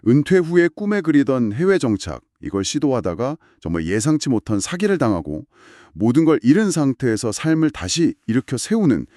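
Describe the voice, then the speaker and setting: A man co-hosting a scripted discussion, explaining in a measured way and stressing key figures. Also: medium pitch, big pitch swings, mellow, no room sound, studio quality. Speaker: podcast-style co-host. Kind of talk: scripted two-host discussion.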